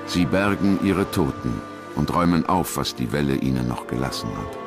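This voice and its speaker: A man reading scripted documentary narration in a measured, grave manner. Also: a bass voice, sing-song, loud music behind the voice.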